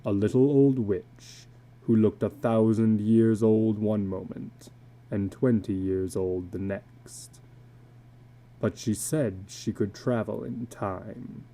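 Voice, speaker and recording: A man voicing a weary, bitter older tattooed carnival man in a slow, grave, brooding dramatic reading of a story. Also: fairly low pitch, fairly even intonation, mellow, voice pushed down. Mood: haunted.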